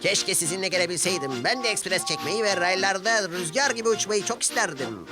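A man's voice: high voice, expressive, brisk, over music, pitched above the performer's own voice. A man voicing an eager, cheeky little male steam engine in a children's story, with a chirpy manner. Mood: wistful.

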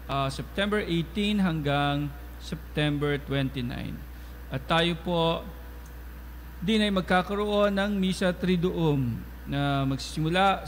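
A male priest speaks in a measured, formal way from a lectern mic. His voice sits a little high for a man and rings out with a long church reverb. A faint hum of the room sits under him. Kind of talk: liturgical prayer and announcements. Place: large church. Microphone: stand mic at lectern.

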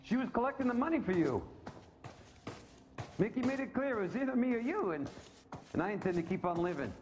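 A man playing a desperate, self-serving killer in a crime game, speaking in a hurried, defiant way. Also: very high voice, big pitch swings, background noise.

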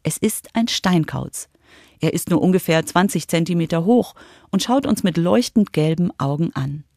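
A woman's voice: fairly low pitch, normal speed, a little mellow, no room sound, clean studio sound. A woman reporter reading scripted narration for a children's radio feature.

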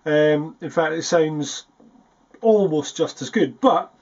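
A man's voice: a little high, takes it slowly, darkish tone. A man in his forties chatting casually and a little hesitantly to camera as a self-deprecating guitarist, recorded in a small practice room. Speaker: guitarist presenting a video. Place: small foam-treated practice room indoors. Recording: dull, muffled sound.

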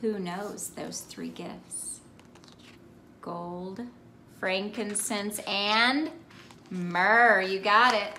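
A woman in her thirties doing an expressive children's picture-book read-aloud, addressing young listeners in an exaggerated way. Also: mid-range voice, drawn-out words, long pauses, a little hiss or hum. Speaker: children's ministry storyteller and host. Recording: roomy indoor echo.

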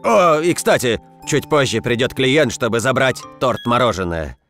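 Gentle, obliging grandpa for a kids' cartoon, lively intonation. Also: male voice, medium pitch, normal speed, soft background music. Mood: helpful. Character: kindly, obliging grandfather with grey hair. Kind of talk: dubbed children's cartoon acting.

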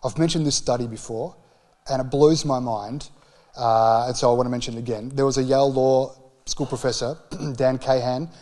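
A man in his forties with an Australian accent, preaching a conversational sermon into a handheld microphone with emphatic, deliberate phrasing. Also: mid-range voice, fairly even intonation, darkish tone. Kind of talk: sermon, conversational public teaching. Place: church hall with stage.

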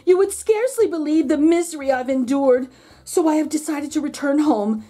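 A woman's voice: sits high, moderate pace, warm tone, lightly acted. A woman voicing a self-pitying, melodramatic dog from a storybook, pleading and stretching out words theatrically. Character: melodramatic, self-pitying male dog writing letters home.

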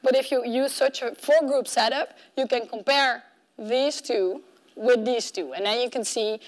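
High-pitched woman giving a conference talk. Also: measured pace. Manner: animated, conversational, acts out imagined objections. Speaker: conference keynote speaker. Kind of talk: conference talk explaining research. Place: conference room in front of a projection screen. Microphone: clip-on mic at the collar.

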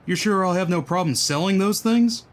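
A man's voice: sits high, normal speed, natural voice. A man playing a hapless, doubtful soldier down on his luck in a comic-book dub, wry and glum.

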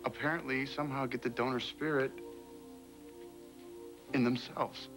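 A young man acting in a daytime soap, calm and reassuring, on a studio set. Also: mid-range voice, normal speed, music in the background, natural voice. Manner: calming, reassuring.

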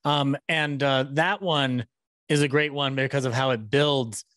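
A man in his thirties chatting casually as a podcast interviewer, halting and repeating words as he goes. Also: mid-range voice, fairly bright tone, dry recording, studio quality. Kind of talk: casual chat. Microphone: podcast mic on a boom arm with headphones.

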